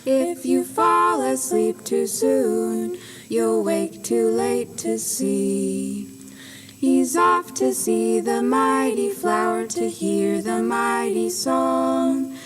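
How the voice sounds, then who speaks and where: High, very slow woman's ominous singsong. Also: exaggerated voice. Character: village children singing an ominous farewell song. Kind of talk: slow lullaby-like singing in a stage play.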